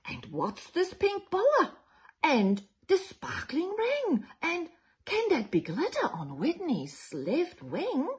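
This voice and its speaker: A woman voicing a curious, fun-loving female chicken owner from a storybook, expressive and full of wondering exclamations. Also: very high voice, slow, pitched above the performer's own voice, exaggerated voice. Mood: puzzled, wondering.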